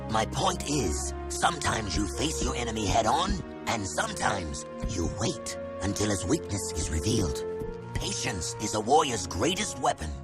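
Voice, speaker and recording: A man's voice: on the low side, sing-song, moderate pace. A man playing a stern, wise, authoritative desert ruler in a video game, speaking formally. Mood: counselling. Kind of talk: animated video game voice acting. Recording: loud music over dialogue.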